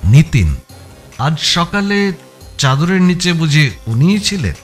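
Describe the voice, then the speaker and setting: A man narrating a suspense thriller in a dramatic, expressive audio story style, with music behind him. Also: on the high side, takes it slowly. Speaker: narrator reading a first-person thriller.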